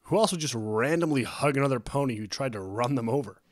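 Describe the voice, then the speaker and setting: A man voicing a friendly, caring Pegasus stallion with a sweet, expressive manner. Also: mid-range voice, moderate pace, no echo, studio quality, voice pushed up. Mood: cheerful.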